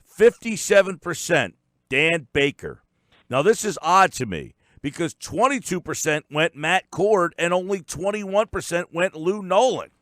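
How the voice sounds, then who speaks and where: A man's voice: high-pitched, expressive, measured pace, fairly bright tone, no room sound, studio quality. An American man hosting a sports talk radio show in a casual, conversational style.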